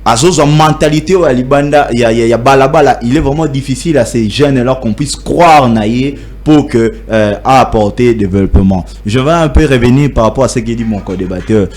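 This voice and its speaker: A young man with a Congolese accent, speaking haltingly in a debate and switching between French and Lingala. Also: medium pitch, darkish tone. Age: young adult. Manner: halting, many restarts, mixes French with Lingala. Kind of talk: hesitant debate talk.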